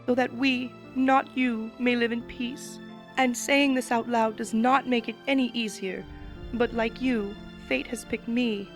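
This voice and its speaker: A woman voicing a solemn female pony princess who speaks in a grave, measured, tearful way, in a dramatic reading. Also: fairly high pitch.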